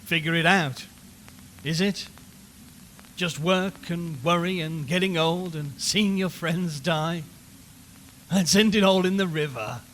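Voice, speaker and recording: Radio drama reporter, male actor: uneasy, wry, chatty man, high bright voice, dramatised live broadcast. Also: slow, pauses often. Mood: rattled, wry. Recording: long reverberant hall, background noise.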